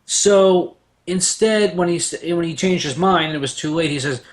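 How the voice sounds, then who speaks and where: A man with a slightly high voice speaks as a panelist in a casual debate. He rambles through trivia and anecdotes, pushing his points with emphasis. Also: normal speed, a crowd in the background. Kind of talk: casual pop-culture debate, trivia-sharing.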